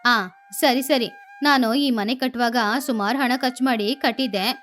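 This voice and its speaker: A woman's voice: on the high side, measured pace, a bit bright, soft background music. A woman voicing a stingy, wheedling female crow full of excuses in a cartoon story.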